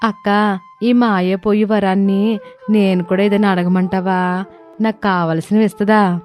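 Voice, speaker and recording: A woman's voice: medium pitch, level tone, measured pace, darkish tone, soft background music, natural voice. A woman playing a greedy younger village sister-in-law in a moral story, in a wheedling manner. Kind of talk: acted moral story dialogue.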